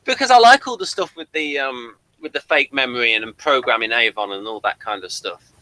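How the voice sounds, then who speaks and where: Male podcast reviewer, swooping expressive delivery, dry close recording, casual and animated. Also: mid-range voice, a little fast, no echo, poor audio.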